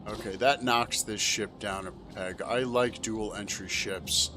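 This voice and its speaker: A man's voice: lowish voice, fairly even intonation, unhurried, noisy background. A man giving casual gameplay commentary as a streamer, musing aloud and thinking through his questions as he plays. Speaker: video game streamer.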